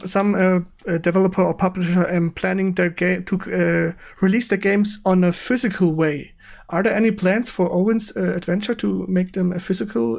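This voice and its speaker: A man with a German accent hosting a casual livestream interview, haltingly searching for his words in English as a non-native speaker. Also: sits high, gravelly, darkish tone. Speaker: stream host interviewing a guest.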